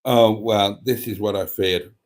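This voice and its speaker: A man with a stuffy, coughy cold talks in an easygoing, unhurried way. His deadpan humour runs through a relaxed live chat as he reads out viewer comments. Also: fairly low pitch. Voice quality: slow, wry, deadpan delivery. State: snotty, coughing. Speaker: livestream host reading chat.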